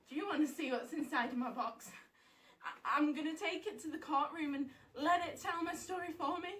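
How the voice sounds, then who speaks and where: Actress as high-voiced unhinged woman, echoey room. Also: slight background noise, heavily acted. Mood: agitated, pleading. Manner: erratic, swinging between singsong, shouting and confessing. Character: unhinged wife and bereaved mother confiding in her mother. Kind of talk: dramatic theatre monologue. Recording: echoey room, far from the mic.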